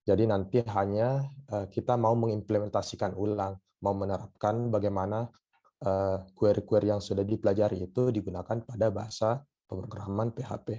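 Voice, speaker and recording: Hoarse man lecturing with many pauses. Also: lowish voice, fairly even intonation, warm tone, muffled recording. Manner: hesitant, many filler sounds. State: hoarse from a cough. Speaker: university lecturer. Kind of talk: online lecture.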